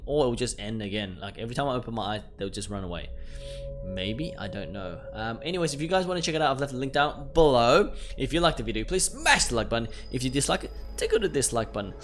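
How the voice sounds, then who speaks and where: Expressive man hosting a gaming video. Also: medium pitch. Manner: expressive, reacting aloud. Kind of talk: casual let's play commentary, reading game text aloud. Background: game music.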